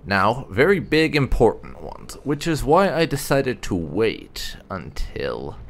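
A man's voice: mid-range voice, big pitch swings, slow pace, gravelly. A man hosting a gaming video, giving casual playthrough commentary in a dry, sardonic way.

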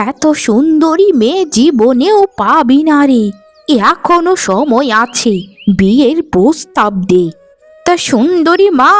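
A woman's voice: high voice, full character voice. A woman voicing an older village mother-in-law in a cartoon, scheming and complaining, with music behind her. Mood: scheming, coaxing. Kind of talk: animated cartoon dialogue.

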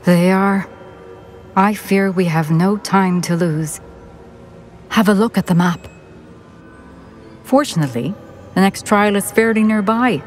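Lady as a dignified witch headmistress in a game, grave and formal, medium pitch, faint music behind. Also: a little slow, pauses often, natural voice. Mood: grave, concerned. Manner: stately, formal. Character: grave, dignified former headmistress in a magical portrait. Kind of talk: dramatic video game acting.

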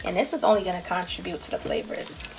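A woman's voice: lowish voice, very animated, fast, gravelly, slight background noise, low-quality recording. Chatty, playful woman hosting a cooking video. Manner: chatty, playful, addresses viewers directly. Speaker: cooking show host. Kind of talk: casual recipe narration.

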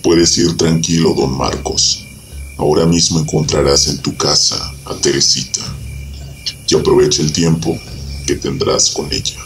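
A man acts out a very low-voiced devil from a dark storybook legend. He is slow and commanding, a spirit who trades favours for souls. Clear noise and ambience sit behind the voice. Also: little pitch movement. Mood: ominous, commanding. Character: infernal spirit, the devil offering a soul pact.

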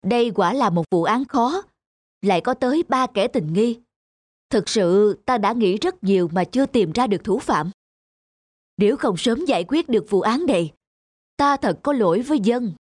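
A woman voicing a stern, shrewd male magistrate in a cartoon folk tale, speaking in a measured, formal, authoritative way. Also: fairly high pitch, animated, no echo, clean studio sound. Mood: troubled. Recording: music behind the voice.

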